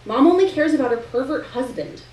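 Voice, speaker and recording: A woman's voice: high-pitched, lively intonation, brisk, darkish tone, voice pushed up. A defiant young girl, read by a woman in a live story reading. She is flippant and sarcastic, heard a little way from the mic in an echoey room. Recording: roomy, distant live recording with noise.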